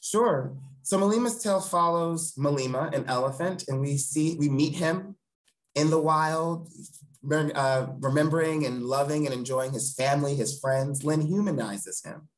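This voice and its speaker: A man's voice: a little high, normal speed, low-quality recording. Earnest, eloquent man in an interview. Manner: earnest, eloquent, emphatic repeated phrasing. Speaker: theatre director, interview guest. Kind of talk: thoughtful interview talk. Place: home room on a video call. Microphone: video call.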